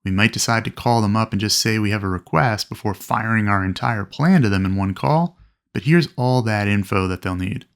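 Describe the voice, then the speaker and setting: Creaky-voiced man giving methodical instruction. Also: lowish voice, a little fast. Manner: clear, methodical explaining. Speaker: flight instructor presenting a training video. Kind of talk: instructional narration with acted radio calls.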